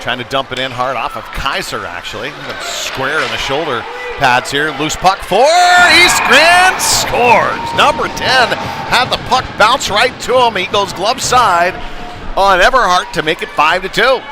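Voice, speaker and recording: A man's voice: high-pitched, animated, unhurried, loud music behind the voice. A man doing live play-by-play commentary of a youth ice hockey game, in a folksy, home-team way. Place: indoor ice rink, at the game. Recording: loud rink noise with puck slams. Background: loud rink ambience, bangs.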